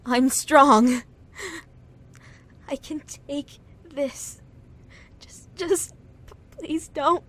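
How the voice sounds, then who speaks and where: A frightened young devotee played by a woman speaks in a high voice, very slowly and haltingly. She is submissive and deferential, acted with raw emotion for radio drama, with faint noise in the recording. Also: fairly bright tone, heavily acted. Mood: desperate pleading. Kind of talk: emotional audio drama acting.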